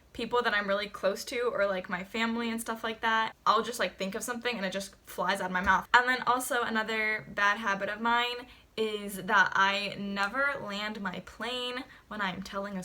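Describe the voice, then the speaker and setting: A woman's voice: mid-range voice, fairly even intonation, moderate pace, pushed and bright. A young woman chatting casually in a get-to-know-me vlog, rambling with lots of fillers. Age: young adult. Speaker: vlogger.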